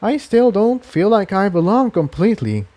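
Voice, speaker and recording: A man's voice: high-pitched, very animated, normal speed, dark tone, pitched above the performer's own voice. A man voicing an anxious, self-doubting female pony in a storybook reading, speaking earnestly and fretfully. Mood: anxious, apologetic.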